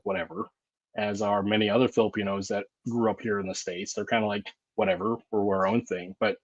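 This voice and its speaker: A man's voice: on the low side, no room sound. A man hosting a podcast in casual conversation, rambling and reflective, with many fillers and restarts. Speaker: podcast host. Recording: dull, dry lo-fi sound.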